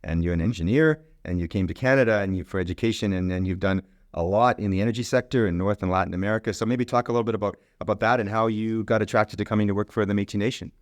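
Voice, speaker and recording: A middle-aged man hosts a podcast, speaking quickly in a lowish voice. He rambles through his questions and keeps restarting his sentences, recorded close and dry. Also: no echo. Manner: chatty, rambling with many restarts. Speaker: podcast host. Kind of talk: casual interview conversation.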